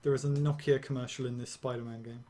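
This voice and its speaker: A young man streaming a game, rambling and ranting casually with swearing and quick laughs. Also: mid-range voice, flat delivery, dark tone, faint music behind. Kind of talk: casual gameplay commentary.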